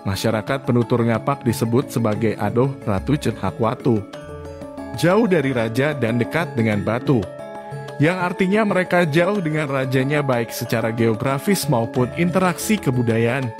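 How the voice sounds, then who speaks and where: Measured male documentary narrator, mid pitch, over music. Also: animated. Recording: clear music behind.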